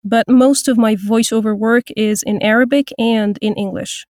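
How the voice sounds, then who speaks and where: A woman in her thirties from Egypt, a voice actor and language teacher, speaking thoughtfully with frequent pauses and restarts in an interview. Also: on the high side, steady tone, darkish tone, no room sound, studio quality. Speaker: guest, voice actor and Turkish teacher. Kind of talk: casual interview.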